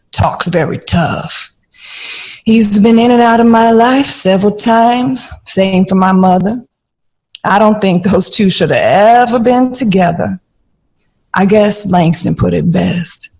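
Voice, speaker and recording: A woman's voice: mid-range voice, slow, a little mellow, slightly rough. An actress playing a bold, outspoken jazz-era film star in an audio drama, blunt and salty, stretching her phrases for emphasis. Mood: wounded, reflective. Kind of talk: period audio drama dialogue.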